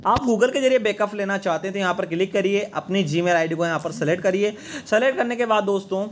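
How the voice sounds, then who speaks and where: Young man, high voice, earnest tutorial. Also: fast talker, slight background noise. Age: young adult. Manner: repetitive, earnest, addressing viewers as friends. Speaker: tech channel host. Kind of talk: phone tutorial with screen-recorded voice-over. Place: small dark indoor studio. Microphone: lapel mic.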